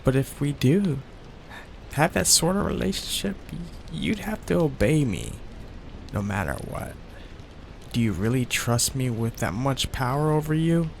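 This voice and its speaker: A man voicing a soft-hearted young male mafia heir in an ASMR roleplay, talking in a hesitant, sheepish way with many fillers. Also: medium pitch, a little slow, gravelly, slight background noise, low-quality recording. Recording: steady rain sounds behind the voice.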